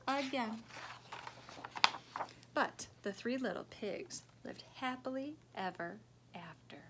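A middle-aged woman reading a children's storybook aloud like a preschool teacher, expressive and emphatic, recorded in a room at home. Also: on the high side, a little hiss or hum. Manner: expressive, emphatic, simple phrasing for small children. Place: small living room, indoors.

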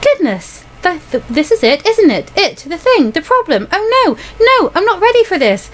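A woman's voice: extremely high pitch, big pitch swings, normal speed, a little hiss or hum, voice pushed up. A woman voicing a naive, flustered young student heroine in a visual novel, fretful and stammering. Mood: panicked. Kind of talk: visual novel inner monologue.

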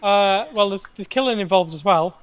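A man's voice: high-pitched, normal speed, fairly bright tone, muffled recording. A man co-hosting a gaming stream, trading casual, dry and teasing banter with friends. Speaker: co-host of a gaming livestream. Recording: faint noise, game gunfire behind.

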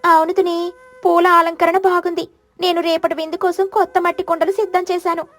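A cheerful cartoon duck, voiced by a woman speaks a bit higher than the storyteller. She sounds homely and bright. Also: little pitch movement, a little fast, faint music behind.

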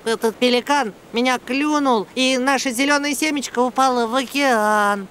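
A woman voicing a cheeky, excitable little boy monkey in a children's cartoon dub, childlike and insistent, with music behind. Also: high-pitched, normal speed, slight background noise. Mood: defensive, upset. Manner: exclamatory, repeats phrases insistently.

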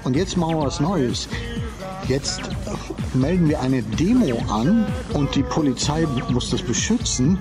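A man's voice: on the high side, lively intonation, a little slow. A man reminiscing in an interview, explaining things in an emphatic, colloquial way. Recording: music behind the voice.

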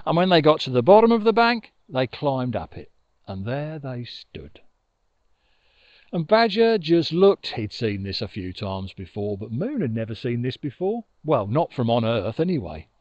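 An older man telling a children's story in an expressive, conversational way, recorded outdoors on a lapel mic. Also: mid-range voice, moderate pace, no room sound, dull audio. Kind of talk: informal oral storytelling for children. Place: outdoors on a grassy riverbank. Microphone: lapel mic with furry windshield.

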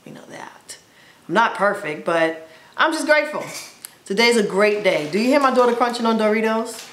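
A woman's voice: on the high side, lively intonation, normal speed, roomy sound, slight background noise. A woman in her forties chatting to camera at home as a vlogger, upbeat and playful, exclaiming often. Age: 40s. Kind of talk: casual chat to camera. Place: indoors at home, plain wall. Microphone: camera in front of her.

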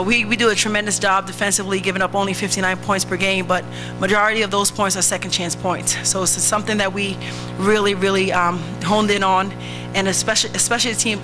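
A middle-aged woman coach answers questions at a press conference table. She talks in a flat, even tone, measured and full of fillers and repeats. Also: medium pitch, poor audio. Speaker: head basketball coach. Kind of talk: post-game press conference remarks. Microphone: table microphone.

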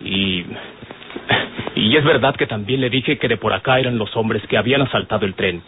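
A man's voice: fairly low pitch, moderate pace, lightly acted. An actor playing an earnest, respectful young man with a Mexican accent, explaining himself politely and carefully in an old radio drama. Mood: earnest, defensive. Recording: noisy, narrow-band old radio recording.

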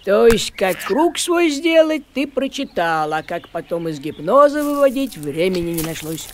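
An actor voicing a thrifty, sardonic farmer tomcat in a cartoon, bossy, shrewd and expressive, drawing out his words. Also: sits high, background noise. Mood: mocking. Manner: mocking, drawn-out, expressive. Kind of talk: animated cartoon acting. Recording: music under the voice.

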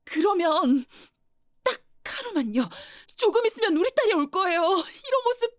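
A woman's voice: extremely high pitch, big pitch swings, moderate pace, no room sound, studio quality. A woman playing a desperate mother who pleads with debt collectors in an audio drama, stammering and near tears. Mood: pleading.

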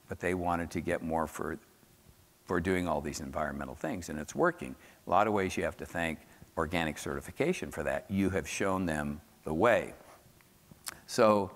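Deep-voiced older American man in a hall. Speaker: keynote speaker, soil scientist. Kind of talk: conference lecture with slides. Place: large dark conference hall, on stage at podium. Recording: echoey hall, amplified stage speech.

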